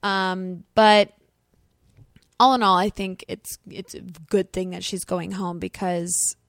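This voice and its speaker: A woman's voice: lowish voice, takes it slowly, no room sound, clean studio sound. A woman co-hosting a podcast, chatting and gossiping casually about celebrity news. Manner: chatty, gossipy, animated asides. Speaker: podcast co-host. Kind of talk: casual chat.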